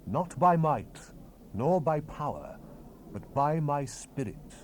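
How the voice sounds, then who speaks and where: A man's voice: on the high side, sing-song, takes it slowly, voice pushed up. A man voicing the Lord God as a stern, majestic deity in solemn, emphatic declamation for a dramatized scripture recording. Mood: commanding. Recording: hissy 1970s cassette rip.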